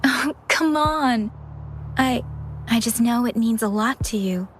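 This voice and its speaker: A gentle young ranger. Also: female voice, fairly high pitch, takes it slowly, a little hiss or hum. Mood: sincere. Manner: gentle, sincere. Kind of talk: video game cutscene voice acting. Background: game music.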